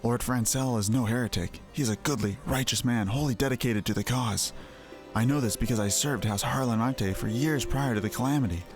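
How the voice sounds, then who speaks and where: Man voicing a grave, confiding knight, medium pitch. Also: fairly quick, close to an everyday voice. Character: earnest, loyal male knight, secretive and grave. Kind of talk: voiced reading of fantasy game dialogue. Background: music.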